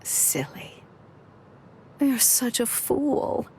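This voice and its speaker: A woman's voice: fairly high pitch, very animated, drawn-out words, a little mellow, a little hiss or hum, heavily acted. A woman voicing a confident, teasing and caring big-sister character in an anime game, coaxing and candid. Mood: tender, reassuring.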